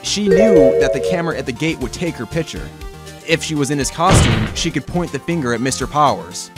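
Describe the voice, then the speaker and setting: A man's voice: a little high, expressive, brisk, close to an everyday voice. A man voicing an earnest young defense lawyer with wry sarcasm in an amateur courtroom fan dub. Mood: assertive. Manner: emphatic, wry asides. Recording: music behind the voice.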